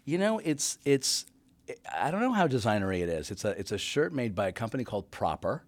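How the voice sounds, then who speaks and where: A man, a guest actor, chatting casually in an interview, halting with fillers. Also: mid-range voice, sing-song, no echo.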